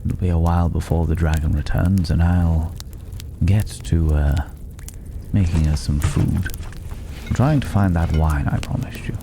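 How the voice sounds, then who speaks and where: A man playing a mysterious, courteous stranger in an intimate fantasy ASMR roleplay, speaking softly and pensively in formal, old-fashioned phrases, sometimes near a whisper. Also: deep voice, steady tone, a little slow, background noise. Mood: welcoming. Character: mysterious, courteous male stranger living in a dragon's cave.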